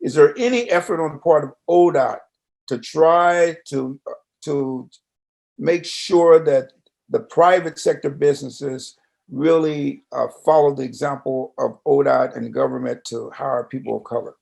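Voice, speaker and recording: A man's voice: medium pitch, a little slow, vocal fry, darkish tone. An American man hosting a conversational radio interview, with a folksy, roundabout, questioning way of talking.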